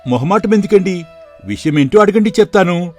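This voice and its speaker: Man playing an earnest, higher-voiced young shop clerk. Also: expressive, brisk, a little mellow, faint music behind. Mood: polite, earnest. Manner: polite and deferential. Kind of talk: dramatized moral story for video.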